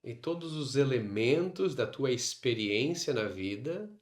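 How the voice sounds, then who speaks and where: A man with a Brazilian Portuguese accent leading a guided meditation, instructing in short phrases separated by long pauses. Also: mid-range voice, lively intonation. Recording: roomy, echoing sound.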